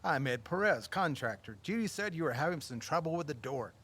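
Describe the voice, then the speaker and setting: A man's voice: on the high side, lively intonation, a little fast, fairly bright tone, breathy edge. An actor playing a tall, heavy-set building contractor in an audio drama, practical and matter-of-fact in his talk. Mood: matter-of-fact, friendly. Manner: plain-spoken, practical. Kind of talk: naturalistic audio drama acting.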